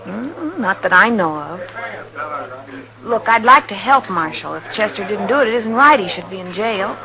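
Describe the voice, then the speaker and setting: A woman's voice: medium pitch, expressive, normal speed, close to an everyday voice, rough recording. Actress as a self-possessed young Western woman, crowd behind. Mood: cool, defensive. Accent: American. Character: proud, cool young frontier woman, newly engaged. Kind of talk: 1950s radio drama acting. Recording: vintage radio recording, crowd sounds.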